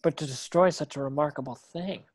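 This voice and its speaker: A man's voice: on the high side, fairly quick, no echo, close to an everyday voice. Male actor as schoolboy wizard, earnest, curious, questioning, dry close sound, fantasy table read. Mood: puzzled.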